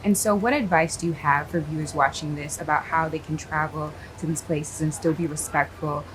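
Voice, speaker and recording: A woman's voice: sits low, steady tone, a little fast. A woman interviewer asking questions in an enthusiastic, chatty way with fillers. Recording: noisy background ambience.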